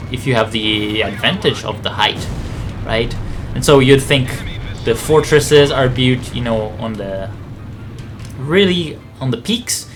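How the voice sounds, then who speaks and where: A man's voice: mid-range voice, noisy background. A man giving casual, rambling video game commentary, thinking aloud in a conversational way.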